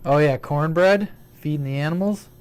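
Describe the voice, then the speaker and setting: A man's voice: fairly high pitch, moderate pace. Rambling man hosting a radio chat. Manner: relaxed, rambling with restarts. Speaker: host of a CB radio stream. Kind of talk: casual chat.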